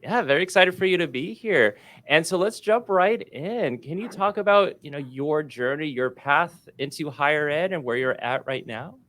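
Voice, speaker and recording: Lively, upbeat male podcast host. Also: a little high, moderate pace, hardly any pauses. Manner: upbeat, lively, frequent fillers. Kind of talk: interview podcast hosting.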